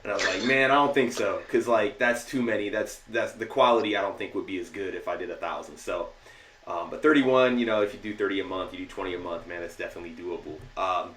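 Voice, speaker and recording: A man's voice: deep voice, fast talker, fairly bright tone, far from the mic. Upbeat male podcast host in an echoey room. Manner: upbeat, says "man" often. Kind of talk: casual interview chat.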